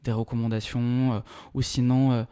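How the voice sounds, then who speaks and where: Mellow-voiced man in French. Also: medium pitch, little pitch movement, smooth. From Brazil. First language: Portuguese. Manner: measured, explanatory, searching for words. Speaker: lawyer and interview guest. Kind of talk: casual interview talk.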